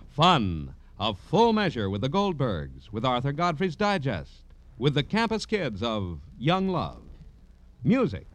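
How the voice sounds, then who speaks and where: American male radio announcer, highish pitch, hugely animated, punchy, emphatic, vintage promotional read. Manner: punchy, emphatic showman delivery.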